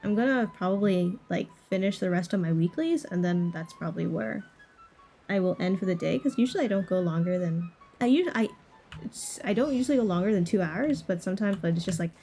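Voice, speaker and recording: Mellow-voiced woman streaming a game. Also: medium pitch, expressive, fairly quick. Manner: rambling, conversational. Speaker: livestreamer. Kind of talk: casual gameplay chat with stream viewers. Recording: game music playing behind voice. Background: video game music.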